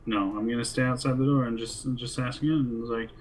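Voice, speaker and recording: A man's voice: medium pitch, steady tone, brisk, a little hiss or hum, low-quality recording. A man playing a role-play game, chatting casually and thinking aloud in a hesitant way.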